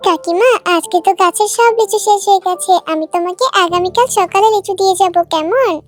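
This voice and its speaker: Little village girl: tiny high voice, earnest, childlike, kids' cartoon with music behind. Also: brisk. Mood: friendly. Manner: earnest, childlike, repeats herself eagerly. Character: kind, eager, innocent little village girl.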